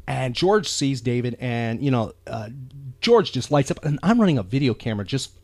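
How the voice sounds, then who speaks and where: A man hosting a casual talk show, speaking earnestly and haltingly, often repeating words as he goes. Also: mid-range voice, expressive, a little mellow, dry recording. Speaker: podcast host.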